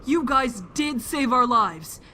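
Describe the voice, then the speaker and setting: A woman's voice: high-pitched, expressive, slow pace, bright, forceful tone, a little hiss or hum, full character voice. A woman playing an earnest, trusting youngster in an audio drama, with a pleading manner. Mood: earnest defence.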